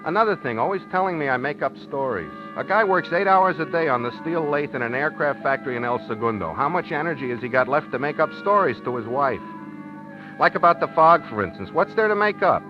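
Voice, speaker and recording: A man's voice: fairly high pitch, animated, fairly quick, lightly acted. An actor playing a henpecked, wistful working-man husband in a 1950s radio drama, plainspoken and a little hesitant. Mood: wistful, musing. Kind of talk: 1950s radio drama acting, first-person narration. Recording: dull 1950s radio recording with music.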